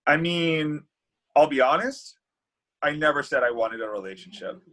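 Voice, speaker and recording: A man's voice: on the high side, lively intonation, normal speed, fairly bright tone. A man reading the part of a flippant, evasive, bitter thirty-year-old Cupid at a comedy table read. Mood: flippant, defensive.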